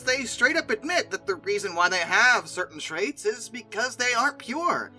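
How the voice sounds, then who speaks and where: Animated, bright-voiced video commentator. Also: medium pitch. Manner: conversational, exasperated asides, emphatic. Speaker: video host. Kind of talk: casual opinionated commentary on film dinosaurs.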